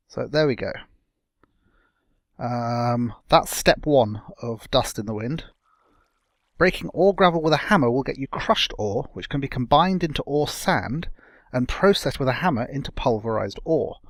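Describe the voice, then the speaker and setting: Young male let's-play host, slightly croaky, rambling casual commentary, dry close sound. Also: medium pitch, no echo. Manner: rambling, self-correcting, chatty.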